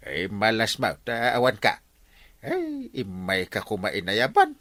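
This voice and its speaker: A man's voice: lowish voice, wildly swooping pitch, unhurried, pushed and bright, no echo, exaggerated voice. An actor playing a village man who teases his angry friend and keeps laughing, in a radio comedy drama. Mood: teasing. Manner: teasing, laughs a lot. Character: chuckling male friend of the angry husband.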